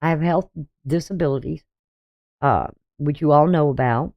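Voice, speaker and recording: A sixty-something astrologer talks through the day's chart in a loose, meandering way, trailing off and restarting often. The voice sits at a middling pitch. The recording sounds dull and lo-fi. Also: moderate pace. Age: 60s. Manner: rambling, hesitant, with frequent ums and restarts. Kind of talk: casual daily astrology reading over a chart.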